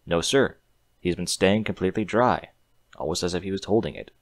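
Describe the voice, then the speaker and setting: A man's voice: sits low, a little fast, no room sound. Man as a stern, dutiful pony guard. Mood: dutiful, reporting. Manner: formal, commanding.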